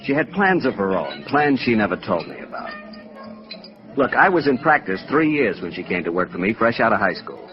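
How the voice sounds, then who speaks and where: A brusque, tired American doctor with a bitter streak in a vintage radio play. His voice sits low, and the old recording is dull, with faint music behind. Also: sing-song, normal speed. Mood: rueful. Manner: brusque, world-weary. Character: curt, weary, bitter male doctor, jilted. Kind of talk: 1950s radio drama acting.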